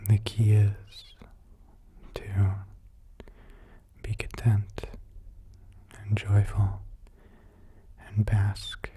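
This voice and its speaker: Male ASMR meditation guide: low, dark, hushed and breathy, soothing, halting phrases. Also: completely flat delivery, a little hiss or hum. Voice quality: breathy, hushed, mostly whispered. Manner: slow, soothing delivery with short halting phrases.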